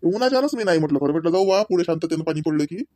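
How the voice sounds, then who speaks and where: A man's voice: fairly high pitch, lively intonation, very fast, warm tone, no echo, studio quality, lightly acted. A man voicing a doting, proud young father in a comedy cartoon, chatty and folksy, with a Varhadi Marathi accent. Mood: easygoing. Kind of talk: homemade comedy cartoon dialogue.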